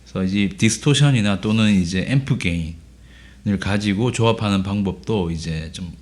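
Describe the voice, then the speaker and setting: A man with a hoarse voice from a cold, casually explaining guitar pedals in a relaxed way, with frequent filler sounds. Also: lowish voice, normal speed. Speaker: guitar teacher. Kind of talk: casual gear demo lesson.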